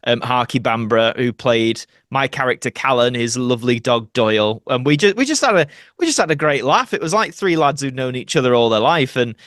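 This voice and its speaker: A man with a Yorkshire accent chats as a guest in a casual interview. His voice sits at an average pitch, and he tells colloquial, meandering stories like an actor among friends. The sound is close and dry, with no room echo. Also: expressive, fairly quick, hardly any pauses, a bit bright. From Doncaster, Yorkshire, England. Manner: chatty, colloquial, rambling anecdotes.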